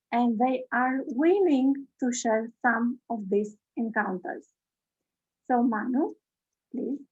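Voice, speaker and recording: A woman giving a formal, measured welcome speech as host of an online session over a video call. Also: a little high, a little mellow, husky, highs cut off.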